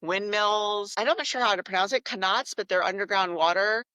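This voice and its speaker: A woman's voice: medium pitch, moderate pace, no echo. A woman, a guest in an interview, telling reflective stories in a conversational way. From United States. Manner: reflective, warm storytelling with some restarts.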